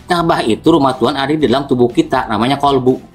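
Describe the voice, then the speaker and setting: A man defends his belief, stumbling over his words with small nervous laughs. His pitch sits mid-range for a man. Faint music runs behind him. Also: quick pace. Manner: hesitant, stammering restarts, nervous laughs. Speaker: debate caller. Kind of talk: religious debate, defending his view.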